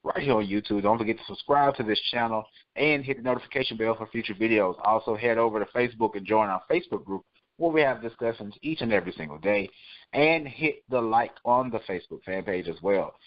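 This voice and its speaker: A man's voice: on the low side, lively intonation, fast, no echo, highs cut off. A man hosting a podcast, talking casually with his co-hosts and listeners. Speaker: podcast host. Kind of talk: casual podcast chat and sign-off.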